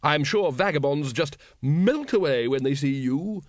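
Man voicing a high-pitched, hearty posh lady. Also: expressive, measured pace, no room sound. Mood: confident.

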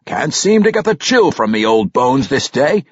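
A man voicing a hearty, genial old dwarf king in a fantasy audiobook, with a booming rustic dialect. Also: very animated, moderate pace, no echo, muffled recording.

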